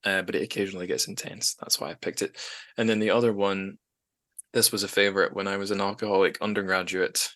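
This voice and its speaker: A man's voice: sits low, little pitch movement, fast talker, a bit bright. A man hosting a podcast in casual, informal chat, happy to swear. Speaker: podcast host.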